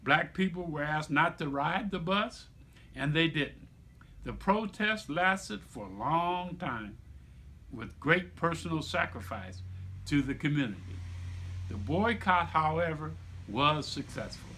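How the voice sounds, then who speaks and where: A man reading aloud to children like a teacher, with deliberate, emphatic phrasing and explanatory asides. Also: fairly high pitch, animated, bright, forceful tone, slightly rough. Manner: deliberate, emphatic phrasing with repetition for young listeners.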